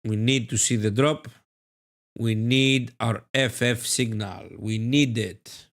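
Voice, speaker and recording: A man with a Greek accent hosting a live trading stream, reading viewer comments and answering them warmly, often repeating his phrases. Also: medium pitch, fairly even intonation, slow pace. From Greece. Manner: effusive, repeats phrases, calls viewers "my beloved friend".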